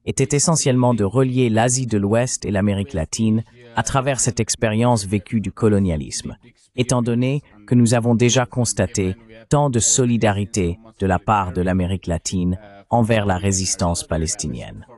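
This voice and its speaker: A man's voice: fairly low pitch, moderate pace, darkish tone. A man acting as interviewer in a dubbed programme, phrasing his points formally. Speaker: interviewer, host.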